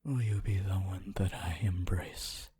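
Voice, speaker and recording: A man voicing a lonely, honorable ghost of a teacher in an ASMR roleplay, speaking in a hushed, breathy, halting and wistful way. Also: deep voice, steady tone, exaggerated voice. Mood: tender, longing. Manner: slow, halting, wistful, intimate. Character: honorable lonely male ghost, former teacher, tender and regretful.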